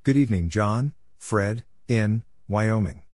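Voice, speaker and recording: A man reading scripted radio-practice exchanges in short, deliberate, clearly separated phrases. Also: on the low side, darkish tone, dry recording, clean studio sound. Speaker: Morse code practice narrator.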